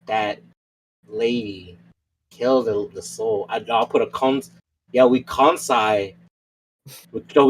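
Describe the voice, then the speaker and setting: A man's voice: lowish voice, rough recording. A man playing a tabletop roleplay game, talking in insistent, slangy, casual banter that circles back on repeated phrases. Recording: clear background noise.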